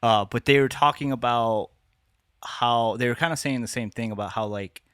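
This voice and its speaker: A man's voice: mid-range voice, normal speed, fairly bright tone, clean tone, no room sound, clean studio sound. A man co-hosting a podcast in casual chat, rambling with many restarts and curses. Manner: rambling, lots of restarts and swearing. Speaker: podcast co-host.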